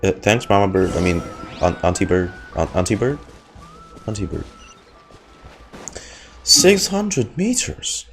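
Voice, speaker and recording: Male reaction host, deep, unhurried, very animated, talks over game music. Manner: blurts reactions, swears, jokes at the screen. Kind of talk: casual game reaction commentary.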